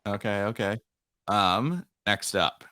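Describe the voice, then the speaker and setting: A young man co-hosting a casual sports podcast, relaxed and playfully teasing his co-host. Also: on the low side, takes it slowly.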